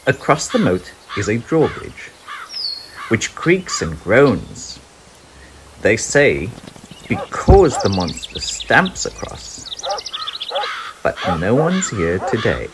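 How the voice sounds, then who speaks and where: Storybook narrator, medium-pitched, very slow and suspenseful, with big dramatic swings for young listeners. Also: sing-song, background noise. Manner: dramatic, suspenseful, deliberate phrasing. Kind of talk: expressive children's read-aloud storytelling.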